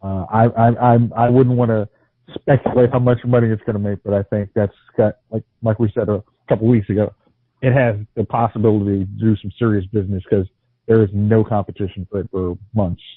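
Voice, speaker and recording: Hesitant low-voiced man on a phone line. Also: level tone, fairly quick, dry recording. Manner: hesitant, restarts often. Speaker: podcast co-host. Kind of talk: casual movie podcast chat.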